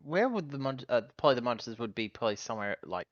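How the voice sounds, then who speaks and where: A man's voice: medium pitch, sing-song, quick pace, no echo, highs cut off. A man playing a tabletop game, hesitantly and ramblingly describing what his character does. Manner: rambling, hesitant, self-correcting. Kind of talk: casual game talk, describing character actions.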